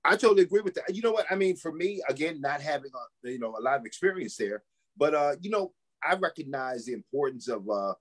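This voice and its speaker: A man's voice: a little high, animated, fast talker. A man who is a minister and stage play cast member, speaking in a careful, earnest, reflective way in an interview. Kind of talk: reflective conversational talk.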